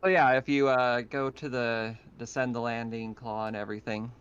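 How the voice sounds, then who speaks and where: A man game-masters a tabletop session in a casual, halting way. His voice comes over a dull, lo-fi online call. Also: mid-range voice, steady tone, a bit bright, clear, resonant tone. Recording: dull lo-fi voice chat.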